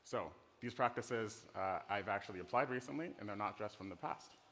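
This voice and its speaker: A man's voice: on the low side, a little fast, a bit bright, a little hiss or hum. A middle-aged man with an American accent giving an informal conference presentation in a casual, playful, conversational way. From Netherlands. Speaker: conference speaker, design director. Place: conference stage in a hall. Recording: reverberant hall.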